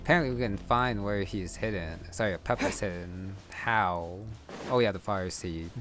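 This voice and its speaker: A man's voice: lowish voice, moderate pace, fairly bright tone. A man giving casual gameplay commentary, muttering and thinking aloud as he plays. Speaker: gamer. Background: game music.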